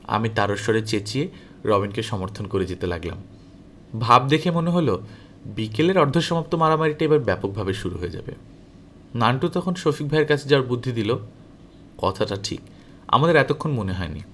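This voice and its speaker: A man reading a story aloud as an audiobook narrator, in an expressive, animated way that dramatizes the dialogue. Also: medium pitch, a little fast, long pauses, a little hiss or hum. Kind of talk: expressive scripted story narration, first-person as a boy.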